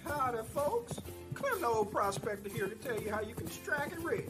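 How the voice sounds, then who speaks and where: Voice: mid-range voice, big pitch swings, normal speed, close to an everyday voice, rough recording. An actor voicing Clem's type of jolly old-time prospector, with a folksy, exclamatory delivery in an advertisement. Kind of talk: advertisement, folksy character pitch. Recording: loud music behind the voice. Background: loud plucked guitar music.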